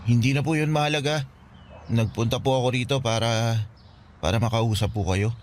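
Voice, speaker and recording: A man's voice: mid-range voice, steady tone, fairly quick, a little hiss or hum, lightly acted. A man playing a hurt teenage son, resentful and pleading, in a radio drama. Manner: pleading, resentful, polite to elders. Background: music.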